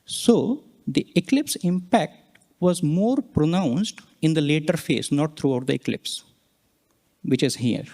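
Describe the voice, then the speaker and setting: A man with a slightly high voice presents scientific research at a conference. He explains his data step by step, in phrases that halt and pick up again. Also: expressive, slow, dark tone.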